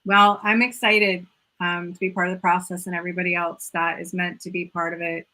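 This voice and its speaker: Bright, eager woman host. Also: on the low side, flat delivery, fairly quick, poor audio. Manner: enthusiastic, repeats phrases, many "like" fillers. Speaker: host and yoga mentor. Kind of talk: casual video-call interview.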